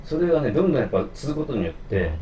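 A man's voice: mid-range voice, big pitch swings, rapid-fire, dark tone. A man from Osaka, chatting frankly and casually as he tells his own story as an interview guest. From Osaka, Japan. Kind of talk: casual conversation, telling life story. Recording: echoey room, distant mic, faint background noise.

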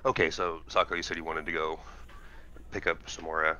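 Low, bright-edged game master on voice chat. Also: male voice, level tone. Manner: loose, halting recap with many fillers. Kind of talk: casual tabletop game session over voice chat. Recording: dull lo-fi voice chat with faint hiss.

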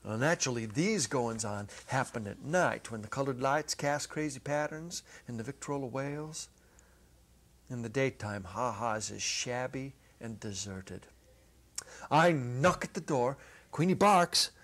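A middle-aged man reads a story dramatically. His pitch is medium, his pace is slow and deliberate, and he stretches words for theatrical effect. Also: animated, fairly bright tone. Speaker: story reader. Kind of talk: dramatic literary reading. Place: indoor set with holiday decor. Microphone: clip-on lapel mic.

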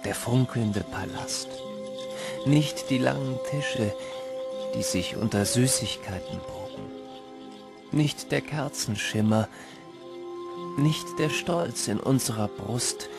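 An actor playing a reflective storyteller, narrating an audio drama in a measured, literary way. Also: male voice, medium pitch, gravelly, music in the background. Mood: wistful, reflective. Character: wistful male storyteller recalling his boyhood.

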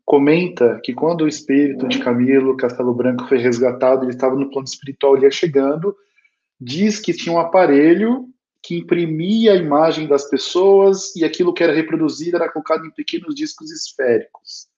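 A man's voice: a little high, lively intonation, a little fast, mellow. A man in his thirties with a Brazilian accent giving an informal, explanatory spiritual lecture in a conversational way over a video call. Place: small home room with bookshelves. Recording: dull lo-fi video call audio.

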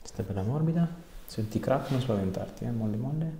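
A man's voice: medium pitch, lively intonation, a little fast. A man, a chiropractor giving short, informal, reassuring directions to a patient as he works. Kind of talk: hands-on clinical instructions and casual chat with a patient. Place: small indoor treatment room. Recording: echoey room with noticeable background noise.

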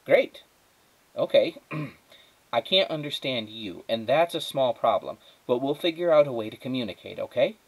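A man voicing a cynical, earnest young girl from a storybook, bossy and excitable, talking in an emphatic conversational way. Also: medium pitch, normal speed, pitched above the performer's own voice, low-quality recording. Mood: eager, earnest.

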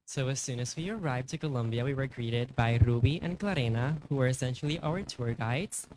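A young man presents in English at a podium microphone, his voice at a middle pitch. The delivery is rehearsed and polite, with steady background noise under it. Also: brisk. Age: young adult. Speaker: fashion design student. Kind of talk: student presentation. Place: indoor university event stage. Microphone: podium microphone on a stand.

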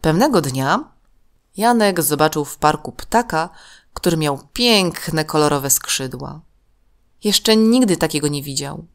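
A woman narrating a scripted children's story in a measured, expressive way. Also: sits low.

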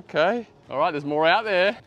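High-voiced, excitable Australian man outdoors. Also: moderate pace, lo-fi sound. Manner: excitable, exclaiming. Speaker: host of a magnet fishing vlog. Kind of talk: casual outdoor vlog chat. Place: outdoors beside a canal. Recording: outdoor noise, traffic and ambience.

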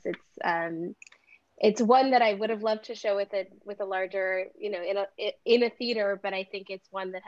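A woman in her thirties moderates a film Q&A, friendly and slightly halting as she sums up and thanks her guest. Her voice comes through a dull, lo-fi video call, close and dry. Her pitch sits in a middle range. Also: no room sound. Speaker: festival artistic director and moderator. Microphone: laptop webcam video call.

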